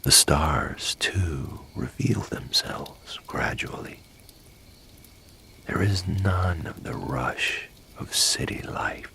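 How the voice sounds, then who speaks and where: A man narrating a guided sleep meditation in a hushed, breathy, gravelly voice, soothing and unhurried like a bedtime storyteller. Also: animated. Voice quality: breathy, whispery, gravelly low voice.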